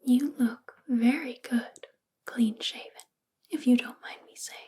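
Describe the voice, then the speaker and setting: A woman playing a possessive, flirtatious boss in a soft-spoken ASMR roleplay, whispery and breathy, with an intimate, coaxing, teasing manner. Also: a little high, measured pace, close to an everyday voice. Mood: attentive, soothing. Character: possessive, obsessed, flirtatious female boss doting on employee.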